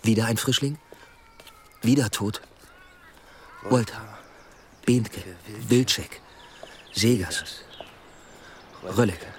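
An actor playing a sardonic, driven police inspector in a radio play, speaking in dry, clipped lines. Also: male voice, on the low side, drawn-out words, long pauses, a little hiss or hum. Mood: brooding. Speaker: police detective, lead character. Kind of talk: radio play dialogue and inner monologue. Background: music.

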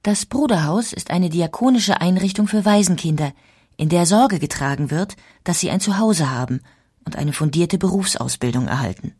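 A woman narrating a historical audiobook in a measured, formal way. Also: fairly low pitch, animated, darkish tone, slightly rough. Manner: measured, formal documentary delivery.

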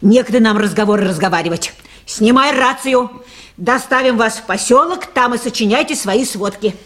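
A woman's voice: fairly high pitch, normal speed, a bit bright, lightly acted. Actress doing middle-aged river boat woman, folksy grumbler, worried and motherly, old radio play. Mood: anxious, scolding. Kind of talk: 1980s Soviet radio play acting.

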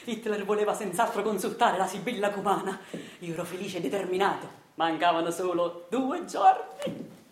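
A woman playing a self-styled intellectual husband who narrates a radio drama theatrically and deliberately, with a Neapolitan accent. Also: medium pitch, animated, roomy sound, slight background noise, close to an everyday voice. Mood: proud recounting. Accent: southern Italian, Neapolitan. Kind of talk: theatrical scripted storytelling.

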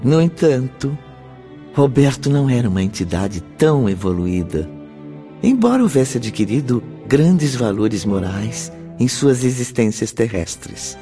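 A male actor as a solemn narrator of a spiritual radio play. The voice is dark and mid-pitched, formal and instructive, over soft music. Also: sing-song. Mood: solemn, informative. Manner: formal, measured phrasing. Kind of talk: scripted radio drama narration.

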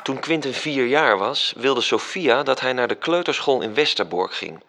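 A man's voice: medium pitch, expressive, moderate pace, noisy background, lightly acted. An actor narrating a radio drama as an angel telling a story to his superior. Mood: calm.

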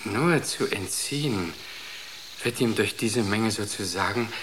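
An actor in a German radio drama playing an entranced artist who speaks with solemn, rapt conviction. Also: male voice, lowish voice, unhurried, background noise, natural voice. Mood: pondering. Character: entranced male artist, serenely devoted to an inner voice.

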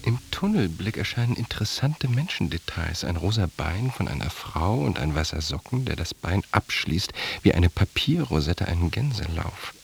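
Radio drama, dreamy confused man, deep creaky voice, slight noise. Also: very animated, normal speed, hardly any pauses, full character voice. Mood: musing. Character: musing, confused man observing strangers closely. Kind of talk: literary radio drama monologue.

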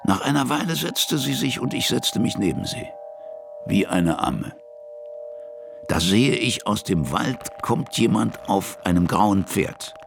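An actor playing a gruff, kindly old army officer who tells his tales colloquially and vividly in a radio play. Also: on the low side, lively intonation, takes it slowly, over music, heavily acted. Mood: reflective narrating. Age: older. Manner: colloquial, vivid, dramatic storytelling.

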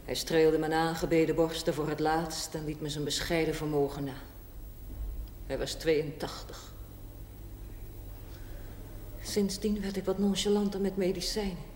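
An actress playing a worldly, unrepentant older woman in a television drama, speaking deliberately and theatrically with dry sarcasm. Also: sits low. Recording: echoey hall with steady hiss.

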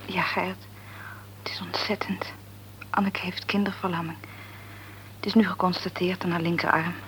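A woman playing an upset young mother in a radio play, speaking hushed and breathy. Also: fairly low pitch, little pitch movement, moderate pace, slight background noise, close to an everyday voice, poor audio.

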